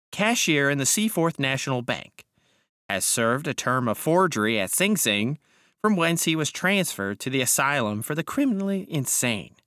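A man's voice: bright, forceful tone, no room sound, studio quality. A man voicing a sinister, complacent little man in an audiobook story, precise and coolly commanding. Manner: raised pitch, precise, complacent. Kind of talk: dramatic audiobook character voice.

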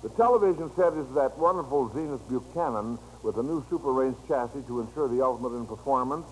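A man with an American accent hosting an old-time radio quiz show, folksy and chatting to the listeners as friends. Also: on the high side. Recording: noisy vintage radio broadcast recording.

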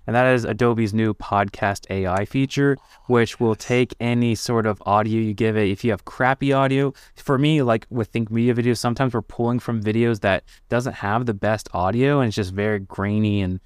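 Male podcast guest, video editor, relaxed and keen, lowish smooth voice. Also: normal speed, hardly any pauses, no room sound, clean studio sound. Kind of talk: casual podcast conversation.

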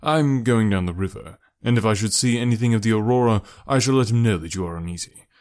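A man voicing a shrewd, suave detective in an audiobook, calm, measured and smoothly bland. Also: on the low side, natural voice. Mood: bland, probing. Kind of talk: audiobook character voice.

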